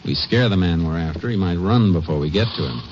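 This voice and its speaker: Steady Texas Ranger, low pitch, terse, commanding, western radio drama, muffled vintage recording. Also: male voice, fast talker, slight background noise. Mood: brisk, determined. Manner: terse, matter-of-fact, commanding. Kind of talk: 1950s western radio drama acting and narration. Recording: dull old radio recording.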